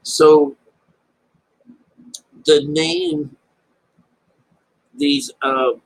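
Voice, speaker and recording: A man's voice: very high voice, wildly swooping pitch, gravelly, low-quality recording. Rambling man giving informal Bible commentary. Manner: rambling, halting, repeats phrases; colloquial, folksy, mild swearing. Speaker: amateur Bible teacher.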